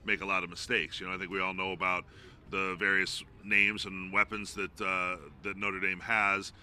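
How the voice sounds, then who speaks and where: A man's voice: low-pitched, level tone, moderate pace, sharp tone, slight background noise. A man who works as a play-by-play announcer, giving informal, discursive sports analysis as an interview guest.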